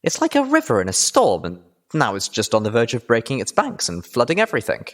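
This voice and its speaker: A man's voice: mid-range voice, wildly swooping pitch, brisk. A man voicing an earnest, determined young female pony adventurer in an audiobook. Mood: intent.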